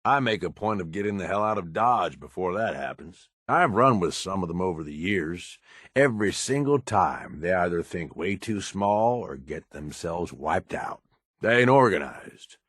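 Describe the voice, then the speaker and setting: Low-voiced, gruff American raider. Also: male voice, expressive, normal speed, a bit bright, no room sound, studio quality, heavily acted. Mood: cynical, advising. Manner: blunt, profane, folksy drawl with slangy grammar. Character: gruff, cynical middle-aged male raider lieutenant, tough and pragmatic. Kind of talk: video game companion dialogue.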